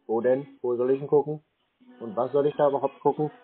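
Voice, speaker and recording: A man's voice: mid-range voice, fairly even intonation, fast talker, slightly rough, faint music behind. A man talking casually on the phone in a dry, sceptical, unhelpful manner. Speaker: scam-baiter taking a call. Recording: tinny, band-limited phone line.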